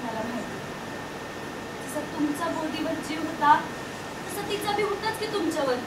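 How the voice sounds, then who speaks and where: A woman's voice: high voice, big pitch swings, moderate pace. A woman playing a caring village wife who pleads with and coaxes her grieving husband in rural Maharashtrian Marathi, in a stage drama. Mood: earnest pleading. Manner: coaxing, pleading. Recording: distant echoey stage recording, loud noise.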